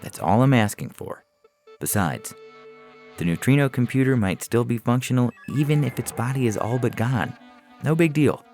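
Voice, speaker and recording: A man's voice: mid-range voice, expressive, moderate pace, a little mellow, pitched above the performer's own voice, lightly acted. A man voicing a stern, exasperated, worn-down alien project leader in a science fiction story, with music underneath. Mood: dismissive. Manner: impatient, insistent.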